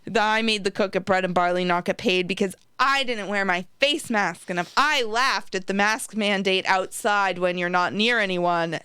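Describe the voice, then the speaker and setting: A woman's voice: on the low side, pushed and bright, clean tone, no echo, studio quality. An American woman co-hosting a podcast, in casual chat about politics. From United States. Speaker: podcast co-host.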